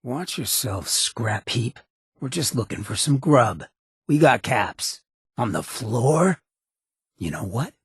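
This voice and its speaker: An actor playing a swaggering, sardonic ghoul gangster companion in a video game, with a gravelly rasp and a wry, streetwise drawl. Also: male voice, medium pitch, fairly bright tone, dry recording, clean studio sound, full character voice. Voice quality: gravelly, raspy.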